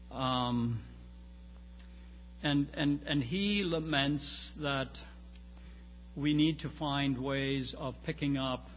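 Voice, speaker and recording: A man giving an informal academic lecture, speaking in searching phrases with repeated starts and emphatic stresses. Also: medium pitch. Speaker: lecturer on church history and theology. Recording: muffled, reverberant hall with background noise.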